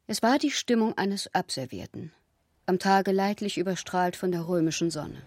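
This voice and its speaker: A woman narrates a radio play from a script. Her voice sits a little low, and the sound is dry, like a studio. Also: normal speed, a little mellow, no room sound.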